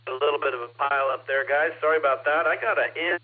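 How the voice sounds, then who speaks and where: A man's voice: a little high, steady tone, fast talker, phone-quality sound, background noise. A man running a ham radio net, taking check-ins and reading call signs in a friendly, casual way. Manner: friendly, calls people brother. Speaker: net control operator. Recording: radio transmission.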